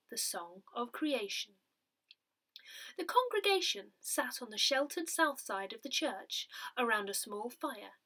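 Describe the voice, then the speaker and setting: A woman reading a scripted newsletter aloud in a measured, deliberate way. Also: on the high side, a bit bright. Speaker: newsletter reader.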